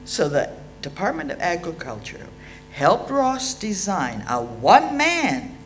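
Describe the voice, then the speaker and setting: An Australian woman in her sixties reading a prepared eulogy aloud in a measured, dryly understated way. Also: fairly low pitch, very animated, soft background music. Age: 60s. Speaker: eulogy reader at a memorial. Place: indoor hall.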